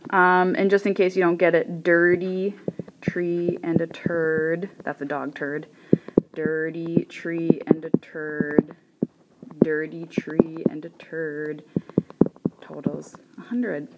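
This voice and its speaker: A woman casually telling a joke, chatty and self-deprecating, murmuring quietly as she draws. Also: deep voice, level tone, slow pace, lo-fi sound, very noisy. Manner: chatty, self-deprecating, drops to a whisper while drawing. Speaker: joke teller.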